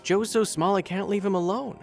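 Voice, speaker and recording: A man voicing a kind, earnest young single mother in a story, lifting his voice higher for her. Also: fairly even intonation, a little fast, creaky, music in the background. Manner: raised, lighter storyteller voice.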